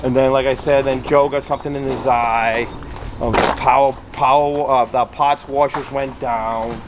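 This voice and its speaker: A man's voice: medium pitch, moderate pace, highs cut off. A man, a mechanic hosting a vlog, telling casual, rambling stories about shop work. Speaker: mechanic and storytelling host. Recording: noisy workshop, steady background hum.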